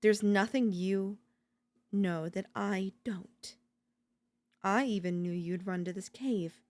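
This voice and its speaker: A woman playing an obsessive, domineering yandere in a dark ASMR roleplay, seductive and smug, slow and teasing, slipping into whispers. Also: mid-range voice, natural voice. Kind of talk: dark ASMR audio roleplay, intimate dramatic acting.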